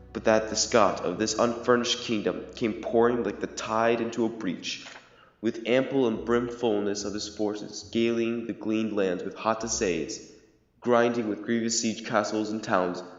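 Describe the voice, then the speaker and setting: Young warrior king played by a man, grave, formal verse, lowish voice, dull echoey recording. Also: natural voice. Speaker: amateur actor in student audio drama. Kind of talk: Shakespearean verse acting. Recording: echoey hall, dull audio, music underneath.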